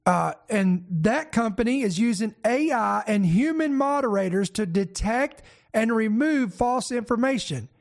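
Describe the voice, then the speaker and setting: A man hosting and pastoring a news commentary show, his voice raspy and congested from a head cold, talking in an energetic, emphatic way. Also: high-pitched, unhurried. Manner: energetic, emphatic preacher-style delivery with fillers. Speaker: host and pastor.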